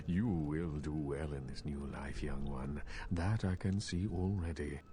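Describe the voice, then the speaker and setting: An actor playing a scheming, cultured elder male vampire in a video game, speaking in formal, measured, condescending phrases. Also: extremely low pitch, noisy background. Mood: amused, condescending. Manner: formal, measured, courtly speech. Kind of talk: video game character dialogue. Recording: loud game music behind. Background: music.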